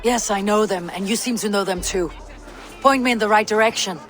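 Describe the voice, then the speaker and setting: A woman's voice: medium pitch, a little fast, fairly bright tone, a faint crowd, natural voice. Game casting: actress as stern Norse warrior woman, terse and commanding, reverberant hall, music underneath. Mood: curt, threatening. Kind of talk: dramatic video game acting.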